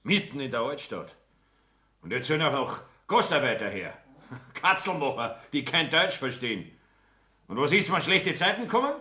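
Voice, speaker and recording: An actor playing a pompous, blustering Bavarian populist politician holding forth at a beer table in a radio play. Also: male voice, mid-range voice, big pitch swings, normal speed, bright, forceful tone, roomy sound. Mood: indignant. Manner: pompous, rabble-rousing.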